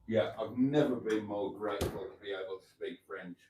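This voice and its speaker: A man's voice: fairly low pitch, normal speed, background noise. An older man from Wales, Welsh his first language, hosting a casual live stream, chatting in a rambling, wry way as he reads out viewer comments. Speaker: livestream host.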